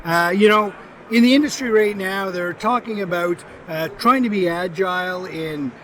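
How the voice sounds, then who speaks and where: A man hosting a podcast, speaking in a halting way with frequent fillers. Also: high-pitched, sharp tone, slight background noise. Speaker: podcast host. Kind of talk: casual podcast interview.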